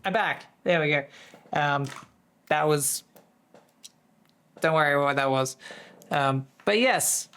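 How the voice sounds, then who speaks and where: A streamer with a voice that is going hoarse, chatting casually to viewers in a distracted, rambling way. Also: medium pitch, slow, long pauses, fairly bright tone, a little hiss or hum. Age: adult, working full-time. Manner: easily distracted, rambling with many restarts. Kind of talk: casual live-stream chat.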